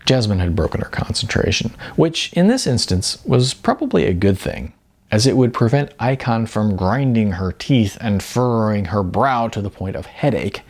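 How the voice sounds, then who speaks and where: A man who is an author reading his own novel as audiobook narration, with expressive emphasis and drawn-out words. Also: fairly low pitch.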